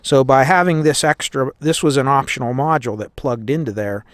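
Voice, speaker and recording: An American man talks through hands-on technical work in an informal, plain-spoken way. His pitch sits in the middle range for a man. He explains each step as he goes, and the sound is dry, with little room echo. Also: brisk. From United States. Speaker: host of a radio repair tutorial. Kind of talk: hands-on tutorial, explaining as he works. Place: indoors at a workbench.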